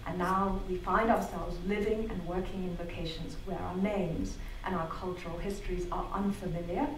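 A middle-aged woman from Zambia giving a prepared talk in a theatre, measured and articulate with wry asides. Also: mid-range voice, slight background noise. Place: theatre hall. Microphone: venue sound picked up from the back of the hall. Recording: far-off roomy hall capture.

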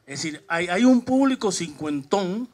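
A middle-aged man, a journalist asking a question at a press conference in a halting, repetitive way. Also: high voice, expressive, darkish tone. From Cuba. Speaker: newspaper journalist.

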